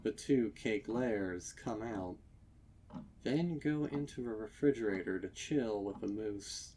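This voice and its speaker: A man reading a scripted horror story as narrator, with deliberate, dramatic delivery. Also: fairly low pitch, dark tone, a little hiss or hum. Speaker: narrator of fan fiction readings.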